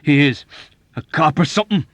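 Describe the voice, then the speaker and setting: A man voicing a belligerent, desperate young airman in an audiobook novel, with a thick, hoarse voice and an agitated, pleading, shouting manner. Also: fairly low pitch, normal speed, no room sound. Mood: indignant.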